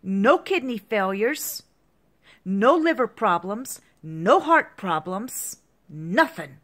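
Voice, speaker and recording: A woman's voice: mid-range voice, very animated, slow pace. Agitated woman in her fifties ranting. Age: 50s. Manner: agitated, repetitive ranting with profanity. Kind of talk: angry monologue to camera.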